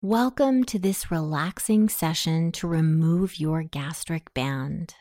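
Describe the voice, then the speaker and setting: Female meditation guide, soothing and extremely unhurried, mellow tone, close dry sound. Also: sits low, no room sound. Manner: soothing, measured, long pauses between phrases. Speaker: hypnosis session guide. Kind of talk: guided meditation, self-hypnosis narration.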